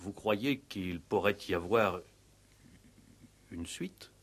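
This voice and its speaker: A man's voice: sits low, slow pace, a bit bright, heavily acted. An actor playing a worried village mayor in a radio play, flustered and self-important, stammering and repeating his words. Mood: anxious, urgent. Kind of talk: 1960s French radio play acting.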